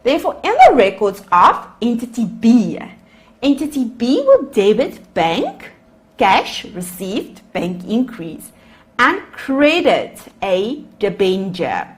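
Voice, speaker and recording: Slow, lively South African woman lecturer. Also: on the high side. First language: Afrikaans. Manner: explanatory, addresses students as guys. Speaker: accounting lecturer. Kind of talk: recorded lecture over slides. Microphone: voice-over on screen recording.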